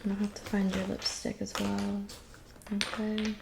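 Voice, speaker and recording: A woman playing a kindly, doting witch in an ASMR roleplay, speaking in a soft whisper with gentle, reassuring attention. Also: medium pitch, completely flat delivery, normal speed, background noise. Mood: soothing, attentive. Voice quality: soft whisper with some creaky murmur. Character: kindly cabin witch mixing potions, doting and soothing. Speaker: ASMR performer.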